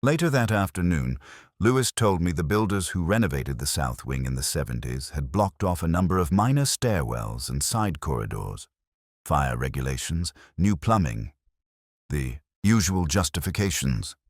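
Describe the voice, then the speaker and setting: Male actor as brooding middle-aged detective narrator: extremely low voice, expressive swings in pitch, literary and measured. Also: no room sound, clean studio sound, exaggerated voice. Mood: brooding, reflective. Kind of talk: scripted first-person mystery narration.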